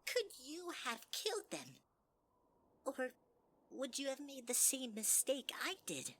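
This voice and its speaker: An actor playing a manipulative, sinister flower creature, with a sweet and mocking singsong delivery in a fan audio drama. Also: male voice, extremely high pitch, wildly swooping pitch, slow, a bit bright, full character voice. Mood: probing.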